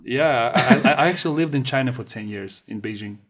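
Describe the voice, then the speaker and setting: Middle-aged podcast host. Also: male voice, mid-range voice, creaky, background noise. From Kazakhstan. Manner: chatty, halting, says "man" a lot. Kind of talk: casual podcast chat.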